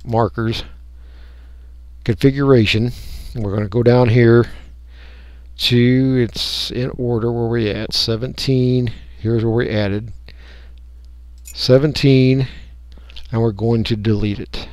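Casting: American man, medium-pitched, folksy hobbyist talking through software off the cuff, in a dry recording. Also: fairly even intonation, slow pace, pauses often, clean tone, no room sound. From United States. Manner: folksy, rambling, thinking aloud. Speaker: radio hobbyist giving a software tutorial. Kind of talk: casual unscripted screen-recording walkthrough.

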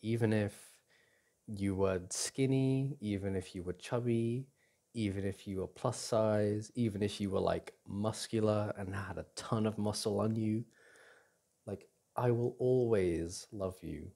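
A man playing a doting boyfriend in an intimate ASMR roleplay, speaking softly and breathily in a tender, reassuring manner. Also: on the low side, a little slow, gravelly. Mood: gentle reassurance. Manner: tender, reassuring, with sighs and soft chuckles. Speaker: audio roleplay performer.

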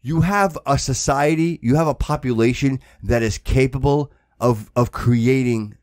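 A man's voice: mid-range voice, darkish tone, no room sound. Guy podcast host arguing casually, emphatic, repeats himself, mid voice, dry close mic. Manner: emphatic, repeats words, presses with rapid questions. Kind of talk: casual political debate.

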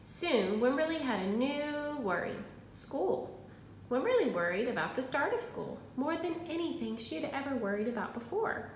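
A woman with a high, very animated voice talks to young children like a teacher at storytime. She is expressive and clearly instructive. The room adds noticeable echo around her. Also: normal speed. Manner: expressive, instructive, prompting listeners with questions. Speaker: primary school teacher. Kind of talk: picture-book read-aloud for young children. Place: indoors in a room at home. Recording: roomy echo, faint background noise.

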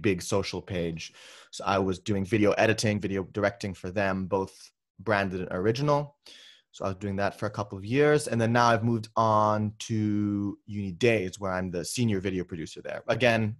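A young man from the Philippines speaks in a fairly low voice, chatting loosely with plenty of ums and restarts. He sounds relaxed and open as he answers interview questions. He is recorded over a video call from his bedroom. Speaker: guest filmmaker. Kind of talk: casual interview conversation. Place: bedroom at home.

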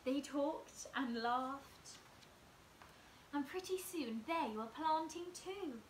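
A young woman in her twenties reading a picture book aloud as a bedtime story, slow and expressive, often dropping to near whispers, recorded at home on a camera in front of her. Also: high-pitched, echoey room, slight background noise, low-quality recording. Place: small room at home, indoors.

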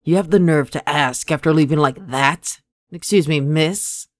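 A performer voicing a stern, menacing young male manga character with a deliberate, taunting delivery. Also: mid-range voice, no echo, studio quality, lightly acted. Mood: impatient, curt.

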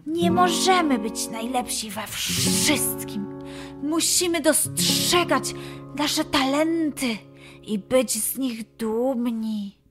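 A woman's voice: high voice, lively intonation, takes it slowly, a bit bright, voice pushed up, heavily acted. A woman voicing a kindly, encouraging male snake in a children's story. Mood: gentle, reassuring. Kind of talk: children's audio story acting. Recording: music under the voice.

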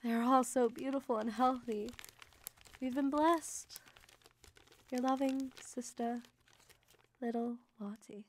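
A woman's voice: on the high side, steady tone, slow pace, pauses often, a little hiss or hum. An actress playing a devoted young Victorian mother, reading letters to her brother in an earnest, emotive way for a radio drama. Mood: joyful.

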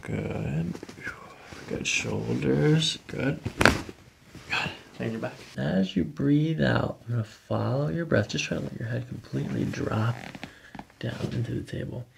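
Very gravelly man calmly giving instructions. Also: medium pitch, unhurried, background noise. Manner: gentle, reassuring. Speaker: chiropractor. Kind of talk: calm step-by-step instruction to a patient during treatment. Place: small office treatment room, indoors. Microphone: microphone on boom arm near the table.

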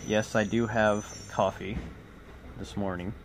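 Guy in his 40s rambling slowly to his vlog viewers, noisy phone audio. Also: fairly low pitch, fairly even intonation. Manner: halting, rambling. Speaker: vlogger. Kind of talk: casual vlog chat to viewers. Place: small cluttered room indoors. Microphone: phone at arm's length. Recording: noisy phone recording, clear background noise.

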